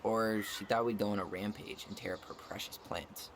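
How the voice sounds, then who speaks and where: A young man with an American accent playing a gentle, sentimental character in a video game cutscene, casual and earnest. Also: fairly low pitch, steady tone, normal speed, close to an everyday voice. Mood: casual, practical. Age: young adult. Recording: noisy game stream capture with ambience.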